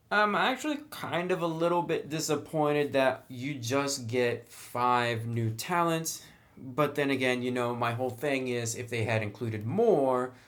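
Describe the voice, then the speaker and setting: Man, mid-pitched voice, casual review commentary, conversational, explanatory, dry wry asides. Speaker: tabletop game book reviewer.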